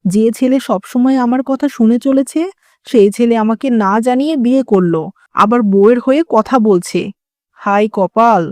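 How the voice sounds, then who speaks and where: A traditional, middle-aged cartoon mother-in-law acted by a woman who scolds and laments. Her voice sits a little high and stays soft-edged, recorded dry with no room sound. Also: moderate pace, no echo, lightly acted. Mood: aggrieved, lamenting. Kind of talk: dramatic cartoon dialogue.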